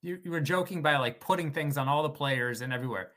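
A man's voice: fairly high pitch, fast, a bit bright. A man on a casual sports podcast posing questions to his co-hosts, teasing and emphatic in his disbelief. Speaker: podcast regular running a question segment.

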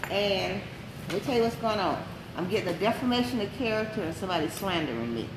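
A woman's voice: mid-range voice, expressive, fast talker. A woman chatting informally and animatedly as a customer in a shop. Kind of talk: casual chat. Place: indoor shop. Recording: noisy echoey shop, loud background.

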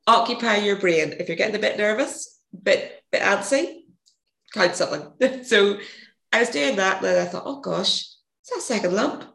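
A woman with a gravelly, hoarse voice, a podcast guest telling a rambling story and correcting herself as she goes. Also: mid-range voice, lively intonation, normal speed, fairly bright tone. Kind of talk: casual interview storytelling. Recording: echoey room.

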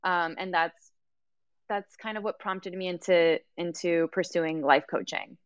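A woman's voice: lowish voice, unhurried, clean tone, no room sound, highs cut off. A woman in her thirties from Mexico, a guest telling her story earnestly, with many fillers and restarts. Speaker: guest, yoga teacher and life coach. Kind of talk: casual interview conversation.

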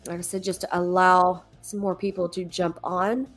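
A woman's voice: fairly low pitch, flat delivery, moderate pace. A woman from Alabama greets her online congregation, her voice a little nasal and congested from a cold. She talks in a loose, chatty way, stopping and restarting her sentences. Faint music plays in the background. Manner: chatty, with frequent ums and restarts. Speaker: ministry leader hosting a livestream. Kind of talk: casual livestream preaching.

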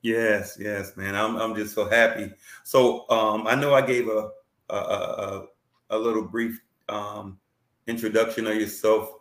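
A man's voice: on the low side, fairly even intonation, a little slow, fairly bright tone, roomy sound. A man hosting a talk show, introducing his guest with effusive praise and plenty of fillers. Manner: effusive, repeats words and fillers. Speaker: host.

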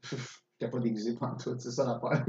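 A man's voice: mid-range voice, fairly even intonation, normal speed, a little mellow. A man with a Québécois French accent chatting casually, joking and exaggerating in everyday slang. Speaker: podcast co-host or guest. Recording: roomy, dull audio with faint noise.

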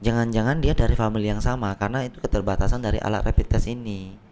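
A man, a doctor, explaining medical tests on a podcast in a patient, plain way with everyday analogies, his voice slightly hoarse. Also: on the low side, fairly even intonation, fast talker, a little mellow, a little hiss or hum. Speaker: doctor guest. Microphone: podcast microphone.

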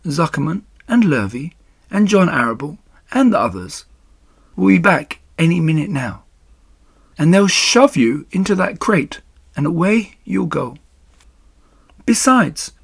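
A man voicing a calm, motherly female spider in a children's story, weak and dying but gently firm and measured. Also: a little high, creaky, husky, no room sound, studio quality, pitched above the performer's own voice, heavily acted.

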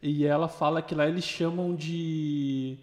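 Higher-voiced Brazilian man in a reverberant space. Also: steady tone, measured pace. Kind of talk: casual chat. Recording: reverberant hall-like room.

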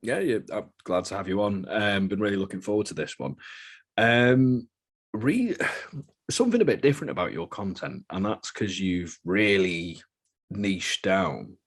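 A man's voice: on the low side, expressive, a little slow, slightly rough. A British man hosting a podcast in a chatty, joking, rambling way. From Britain. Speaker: podcast host. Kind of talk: casual podcast chat.

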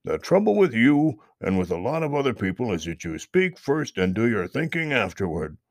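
A grumpy old storybook toad, put on by a man reading aloud, gruff and curt with a gravelly, grunting voice. He speaks slowly and heavily, and the sound is dry and close. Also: medium pitch, big pitch swings, dry recording.

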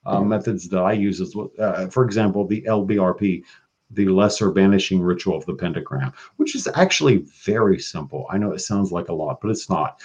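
A man's voice: on the low side, darkish tone, lo-fi sound. A man chatting as a podcast guest, flamboyant and profane, calling people baby and girl. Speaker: guest, occult practitioner. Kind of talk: casual podcast chat.

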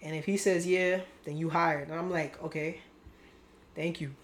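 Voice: mid-range voice, fairly even intonation, normal speed, slight background noise. A young adult vlogger telling a story to viewers in a candid, rambling way, full of slang and swearing.

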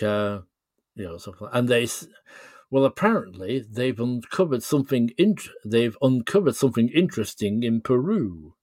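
A man's voice: lowish voice, no room sound, studio quality. A man chatting as an interview guest, explaining things in a thoughtful, hesitant way. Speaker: podcast guest, writer. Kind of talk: casual interview chat.

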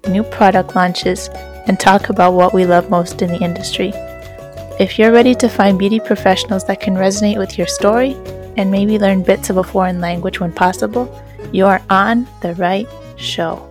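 A woman hosting a skincare podcast, reading a scripted intro and then chatting with a guest in an upbeat, enthusiastic way. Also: mid-range voice. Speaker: podcast host and esthetician.

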